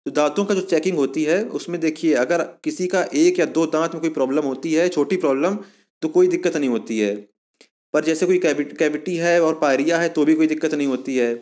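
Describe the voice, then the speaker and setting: A man's voice: on the high side, darkish tone. A man giving an informal explanatory lesson as an exam coaching presenter, going step by step and repeating himself to recap.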